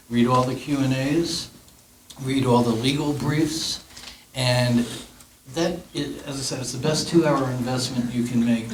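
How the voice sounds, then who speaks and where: Middle-aged guy on a panel giving practical tips, roomy echo, bit of hiss. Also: medium pitch. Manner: earnest, practical, a few throat clears. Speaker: real estate panelist. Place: meeting room. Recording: echoey room, faint noise.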